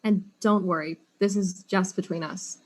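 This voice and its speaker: An actress playing a wry, weary detective in an audio drama, speaking casually. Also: female voice, lowish voice, steady tone, normal speed, close to an everyday voice. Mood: reassuring, wry.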